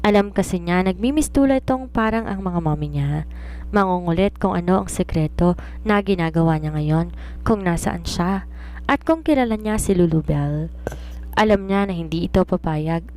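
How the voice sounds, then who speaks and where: A Filipino woman narrates a romance story from a script. Her voice sits on the low side and has a dark, soft tone. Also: animated, moderate pace.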